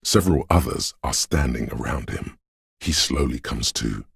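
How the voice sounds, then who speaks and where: An extremely deep, raspy voice of an omniscient city spirit in a video game. It narrates with solemn, ominous poetry, measured and brooding. The recording is close and dry. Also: male voice, lively intonation, no room sound, full character voice. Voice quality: very deep, gravelly, husky. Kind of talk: slow, poetic game narration in the second person.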